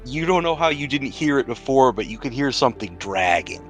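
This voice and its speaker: A man serves as game master for a tabletop roleplaying game, narrating scenes and calling for moves. His voice sits at a medium pitch. Faint music plays behind him. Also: expressive, fairly quick, a bit bright.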